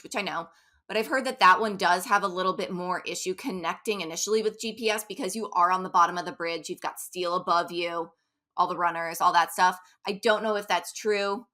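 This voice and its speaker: A woman coach chatting in an upbeat, easygoing way. Her voice is bright and forward, with quick asides. She sounds like she is talking through her race experiences with a friend. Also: mid-range voice. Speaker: running coach. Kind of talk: casual interview chat.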